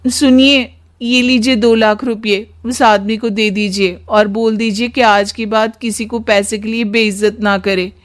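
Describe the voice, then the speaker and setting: A woman's voice: a little high, little pitch movement, measured pace, hardly any pauses, natural voice. A woman voicing a demanding wife in a cartoon story, wheedling and insistent. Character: demanding, coaxing young wife, shrill and nagging.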